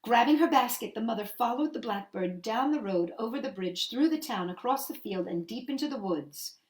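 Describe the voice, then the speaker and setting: A woman's voice: a little high, lively intonation, moderate pace, darkish tone, reverberant. A woman reading a children's story expressively, with dramatic, emphatic phrasing.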